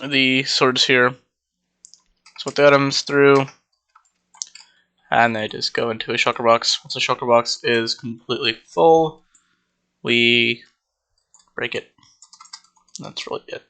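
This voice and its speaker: A man's voice: mid-range voice, fairly even intonation, slow, pauses often, pushed and bright. A young man casually walking through a game build, rambling with many ums and sounding slightly congested. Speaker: Minecraft server player. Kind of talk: casual gameplay walkthrough.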